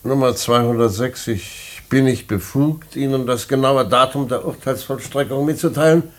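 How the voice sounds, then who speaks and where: An actor playing a stern prison director in a German radio play, officious, curt and skeptical, with formal bureaucratic phrasing and a husky voice. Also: male voice, medium pitch, moderate pace, close to an everyday voice. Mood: stern, official.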